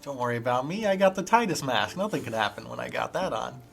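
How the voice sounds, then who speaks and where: A man's voice: mid-range voice, animated, fast, creaky, faint music behind. A man talking casually as a player in a tabletop roleplaying game, drifting in and out of character.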